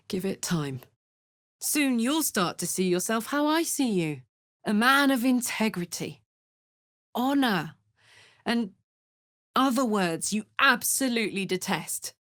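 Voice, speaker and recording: Video game tiefling warrior woman: brash, warm-hearted, earthy and blunt. Unhurried delivery, dry studio sound. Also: on the high side, animated, no room sound. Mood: playful. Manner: blunt, earthy, informal soldierly talk. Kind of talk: video game character voice acting.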